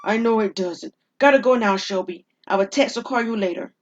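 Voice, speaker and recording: A woman's voice: mid-range voice, brisk, highs cut off, close to an everyday voice. Woman playing a rattled, insistent husband. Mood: uneasy, placating. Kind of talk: audio drama dialogue.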